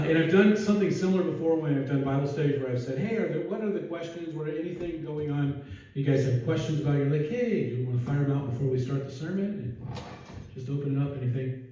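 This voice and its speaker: A man's voice: a little high, expressive, fast, a little mellow, distant mic, slight background noise. A man preaching a casual, conversational sermon who jokes at his own expense and puts questions to the congregation. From Netherlands. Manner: casual, self-deprecating, asks the congregation questions. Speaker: pastor. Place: church sanctuary. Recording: echoey church hall from afar.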